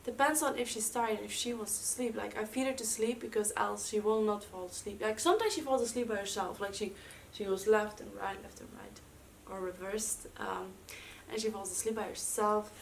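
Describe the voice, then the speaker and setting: A woman's voice: medium pitch, normal speed, gravelly. A young woman with a Dutch accent, chatting casually at home in a vlog, with lots of fillers. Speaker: vlogger. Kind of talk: casual chat. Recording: slightly distant mic with faint room noise.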